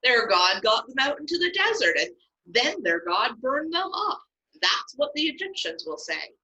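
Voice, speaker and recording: Woman reading Moses, earnest male leader, pleading then firm, lifted pitch, bright tone. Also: big pitch swings, normal speed, exaggerated voice, poor audio.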